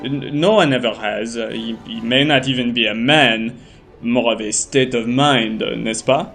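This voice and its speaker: Man as French arms dealer, medium pitch, coy and hesitant, sprinkles French words, audio drama, faint music. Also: moderate pace, vocal fry, fairly bright tone. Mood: confiding. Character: cagey, lovelorn French male arms dealer.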